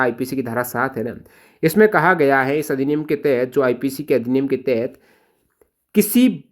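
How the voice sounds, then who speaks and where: A man with an Indian accent teaching exam questions in a didactic way, repeating key points and posing rhetorical questions. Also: a little high. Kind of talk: explanatory lecture on exam questions.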